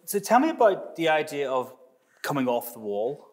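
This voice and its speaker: Man asking measured questions in gallery. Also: on the high side, big pitch swings. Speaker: interviewer. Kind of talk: public conversation.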